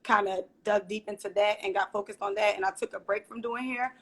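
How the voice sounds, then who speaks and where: A woman's voice: mid-range voice, fairly even intonation, a little fast, breathy edge. A woman with an American accent, raised in Philadelphia, chatting in a friendly, informal way as an interview guest. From born in Trinidad and Tobago, raised in Philadelphia, USA. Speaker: interview guest, small business owner and hairstylist. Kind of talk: casual conversation.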